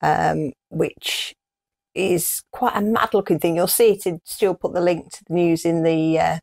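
A woman's voice: lowish voice, steady tone, measured pace, creaky, a little mellow. A middle-aged woman with a British accent, chatting casually as the co-host of a show. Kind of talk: casual chat. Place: small wood-panelled room, indoors.

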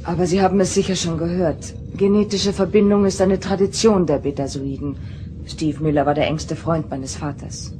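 A woman's voice: lowish voice, steady tone, normal speed, background noise, natural voice. A woman playing an earnest, troubled starship counselor who speaks confidingly in a German radio play. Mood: resigned.

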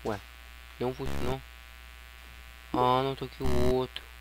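A man's voice: medium pitch, completely flat delivery, unhurried. A Brazilian man giving casual, slangy gameplay commentary, often muttering to himself. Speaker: gaming YouTuber. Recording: noisy home recording with electrical hum.

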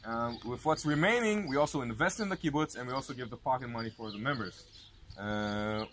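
A man's voice: medium pitch, a little fast, fairly bright tone. A young man, a tour guide explaining to a seated group on a park lawn and asking them questions. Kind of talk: outdoor guided tour talk. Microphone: handheld camera held close by the filmer. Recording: outdoor noise, birds and passing vehicles.

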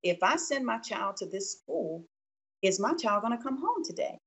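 A woman's voice: a little high, sing-song, normal speed, creaky, fairly bright tone, breathy edge. A woman speaking earnestly in an interview.

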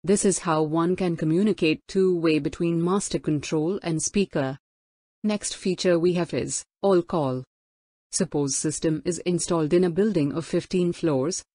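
A woman's voice: on the low side, warm tone, dry recording, studio quality. A woman giving a formal, instructional scripted product demo narration. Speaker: product demonstrator.

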